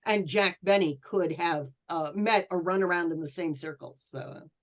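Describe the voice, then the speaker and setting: A woman talking as a panelist in a casual history discussion, with a hesitant, halting delivery. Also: lowish voice. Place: remote call for a virtual convention. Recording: dull lo-fi sound.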